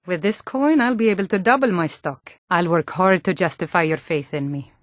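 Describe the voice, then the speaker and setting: Woman acting a low-voiced, earnest Nord woman. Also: normal speed, dry recording, studio quality, natural voice. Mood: grateful. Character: sturdy, earnest Nord woman of a fantasy realm. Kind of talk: scripted fantasy video game dialogue.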